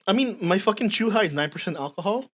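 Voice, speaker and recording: A woman's voice: mid-range voice, animated, fast. A woman in her twenties with a slight Filipino accent, co-hosting a casual podcast chat, talking directly and laughing often. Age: 20s. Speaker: podcast co-host.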